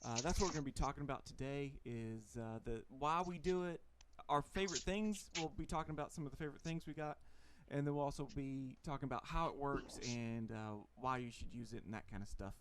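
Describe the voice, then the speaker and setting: A man with a Texan accent hosting a casual livestream, relaxed and chatty, laughing often. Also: mid-range voice, a little fast, hardly any pauses, a little hiss or hum. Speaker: host.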